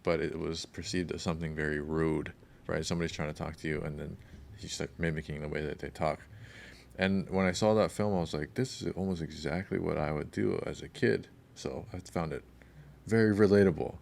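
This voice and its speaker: A man with a very deep voice and a gravelly texture co-hosts a casual podcast. He tells long, wandering stories in a relaxed way and sometimes drifts off his point before finding it again. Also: brisk, slight background noise. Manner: rambling, storytelling, loses his thread. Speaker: podcast co-host.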